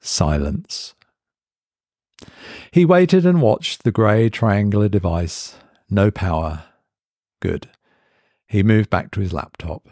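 A man narrating a horror story in a measured, dry storytelling way. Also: fairly low pitch, animated, a little mellow, no room sound. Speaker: narrator, reader of horror stories.

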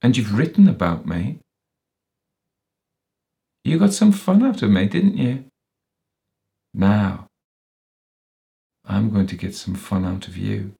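A man's voice: lowish voice, very animated, slow, gravelly, pauses often, warm tone, full character voice. A man reading a story, voicing a crafty, menacing ex-policeman villain, bitter and self-pitying, who sneers and taunts. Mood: menacing, accusing. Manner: sneering, taunting, with sudden rises and whines.

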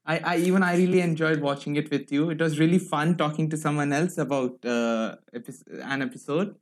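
A young man from India, a guest in a casual chat who agrees often and explains gently. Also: on the high side, a little fast. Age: young adult.

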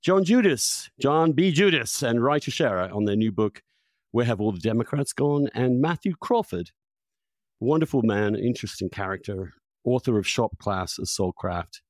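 A man hosting a conversational interview podcast, talking in an effusive, halting way and repeating words for emphasis. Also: mid-range voice, animated, dry recording, clean studio sound. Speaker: podcast host. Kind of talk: conversational interview with long personal introduction.

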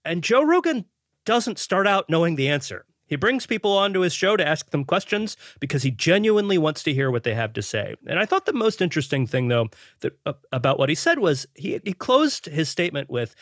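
A man's voice: a little high, big pitch swings, brisk, fairly bright tone, no echo, clean studio sound. Thoughtful male podcast panelist. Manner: thoughtful, repeats words while building a point. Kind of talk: casual panel discussion.

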